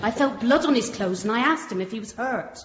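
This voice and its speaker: An actress playing an earnest, naive and respectful Victorian maidservant in a radio drama. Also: female voice, a little high, expressive, fairly quick, natural voice. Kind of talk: radio courtroom drama acting. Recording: echoey, muffled, faintly noisy radio drama.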